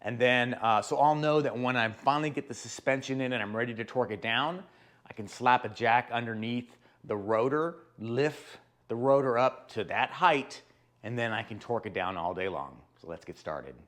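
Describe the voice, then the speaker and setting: A man hosting his own hands-on car repair show, talking in a loose, rambling way as he walks through each step. A sore throat has left his voice hoarse and a bit deeper than usual. He stays conversational and explains things plainly, pitched in an ordinary middle range. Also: moderate pace, a bit bright. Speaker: host of a car repair channel. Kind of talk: casual DIY car tutorial.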